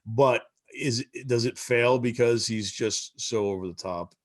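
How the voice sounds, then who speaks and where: A man's voice: mid-range voice, unhurried. A man speaking as a panelist in a casual discussion, often restarting and repeating words as he thinks. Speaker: convention panelist.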